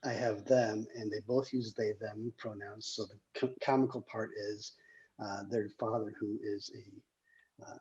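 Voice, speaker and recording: A screenwriter hosting casually, talking in a hesitant, rambling way with a husky, gravelly voice over a dull call recording. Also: medium pitch, steady tone, measured pace, darkish tone. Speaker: screenwriter and table read host. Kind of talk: casual chat.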